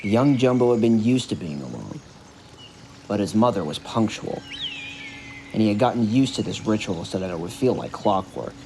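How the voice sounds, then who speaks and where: A man's voice: fairly low pitch, animated, normal speed, background noise. A man narrating a scripted story for an audio drama. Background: music.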